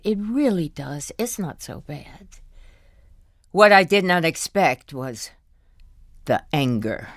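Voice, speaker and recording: A slow-spoken, exasperated older wife. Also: female voice, rumbling low, no echo, heavily acted. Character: exasperated, ashamed older wife of a zealous husband. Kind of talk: naturalistic audio drama acting.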